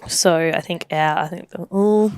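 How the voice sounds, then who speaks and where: A woman with a slightly croaky voice, co-hosting a casual netball chat as a coach, chatty with lots of fillers and laughs. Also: lowish voice, measured pace, darkish tone.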